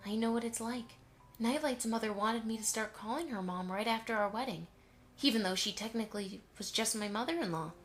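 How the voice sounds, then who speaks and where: Woman as a gentle, motherly pony, fairly high. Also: a little fast, faint music behind, lightly acted. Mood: reassuring. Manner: soft, reassuring, fond. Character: gentle motherly grown mare, a reassuring grandmother. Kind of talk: fan audio drama acting.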